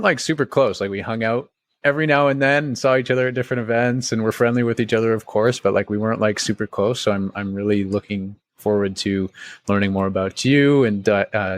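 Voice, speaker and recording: A man's voice: lowish voice, steady tone, brisk, no room sound. A young man hosting a podcast interview in a casual, chatty way, with informal phrasing and lots of "like". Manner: chatty, informal, peppers talk with "like". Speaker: podcast host.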